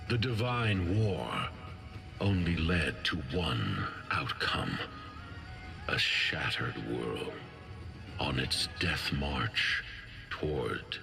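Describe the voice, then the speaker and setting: A man's voice: sits low, gravelly, sharp tone, heavily acted. A man playing a grave epic narrator in a video game, solemn, portentous and theatrical. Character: grave epic narrator of ancient divine wars.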